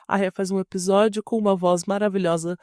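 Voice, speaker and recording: A woman's voice: medium pitch, steady tone, fast, a little mellow, dry recording, studio quality. A woman co-hosting a podcast in casual chat. She has a cold and sore throat, so she sounds nasal and stuffed up, and she jokes and laughs a lot. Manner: joking, laughs often. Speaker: podcast co-host.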